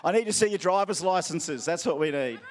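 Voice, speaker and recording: Playful Australian male event MC, fairly high voice. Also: expressive, a little fast, slight background noise. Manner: playful, teasing, jokey. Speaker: event MC giving out prizes. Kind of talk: live crowd banter. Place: outdoor event ground.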